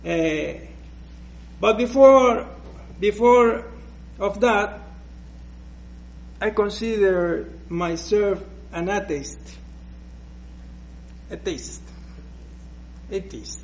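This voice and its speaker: Slow, hesitant Puerto Rican preacher. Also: male voice, high-pitched, muffled recording. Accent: Puerto Rican Spanish. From Puerto Rico. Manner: slow, hesitant, with many restarts. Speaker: guest preacher. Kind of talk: personal testimony in church.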